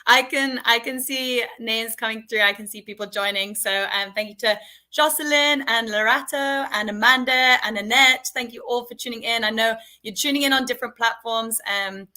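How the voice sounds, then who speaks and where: British woman, young, bright and clear tone, friendly chatty live-stream guest. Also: a little high, normal speed. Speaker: head of marketing operations. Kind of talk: casual live-stream chat.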